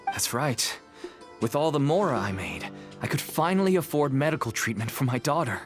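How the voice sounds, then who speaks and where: A man's voice: medium pitch, sing-song, normal speed, over music, close to an everyday voice. An actor playing a worried middle-aged merchant father who speaks in an earnest, weary, pleading way.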